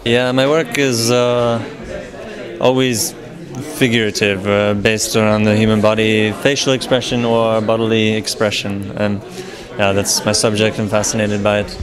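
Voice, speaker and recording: A man with a fairly low voice talks thoughtfully about his figurative work. The chatter of a crowd is clearly heard behind him. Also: little pitch movement, moderate pace. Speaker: figurative painter. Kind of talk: interview answers.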